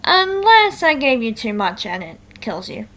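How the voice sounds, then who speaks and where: A woman's voice: fairly high pitch, sing-song, unhurried, fairly bright tone, exaggerated voice. A woman playing a blunt, matter-of-fact demigod girl in a theatrical table read, with a dry manner. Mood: wry, matter-of-fact.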